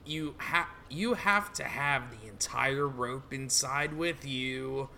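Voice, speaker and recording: A man voicing a menacing, imperious villain of a dark hole in a quiet, measured way. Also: mid-range voice, slight background noise, heavily acted. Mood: menacing, calm.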